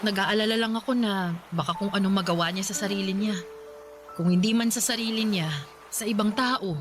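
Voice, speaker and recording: A woman's voice: mid-range voice, steady tone, fairly quick, lightly acted. A woman acting a worried middle-aged mother with a Filipino accent in a fretful, emotive Tagalog radio drama. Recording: music behind the voice.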